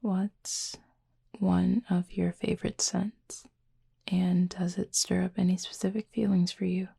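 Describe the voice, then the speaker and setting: A woman playing a reserved, gently teasing cupid roommate in an intimate audio roleplay, speaking softly and breathily in a dry, measured way. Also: fairly low pitch, flat delivery, vocal fry, husky, heavily acted. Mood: reserved, thoughtful. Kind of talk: intimate roleplay audio drama, addressing the listener.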